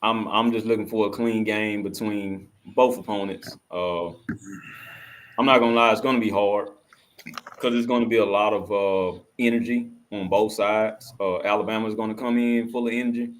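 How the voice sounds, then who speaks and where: A man with a lowish voice chatting as a guest on a sports show. He starts with plenty of hesitations, then builds into eager, hyped-up talk about the game. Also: steady tone, normal speed. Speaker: guest panelist. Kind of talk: casual sports chat.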